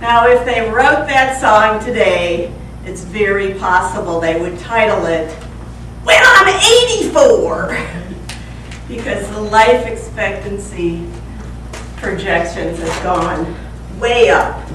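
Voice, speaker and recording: A woman's voice: medium pitch, expressive, takes it slowly, fairly bright tone. A woman in her sixties giving a humorous prepared speech at a speaking club, playfully teasing and quizzing her audience. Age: 60s. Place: club meeting room. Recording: echoey room from far off, faint hiss.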